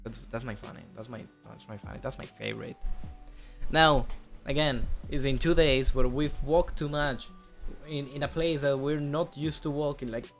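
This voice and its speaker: A man's voice: medium pitch, fairly even intonation, normal speed, gravelly, faint music behind. Rambling young man, slight Spanish accent. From Spain. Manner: rambling, self-correcting, swears casually. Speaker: streamer. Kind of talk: casual chat about a trip.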